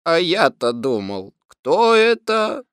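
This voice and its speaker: A woman voicing a gloomy, sarcastic old male donkey in a storybook, drawling slowly and flatly. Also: lowish voice, smooth, no room sound, clean studio sound, voice pushed down. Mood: sardonic. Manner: slow, flat, sardonic drawl.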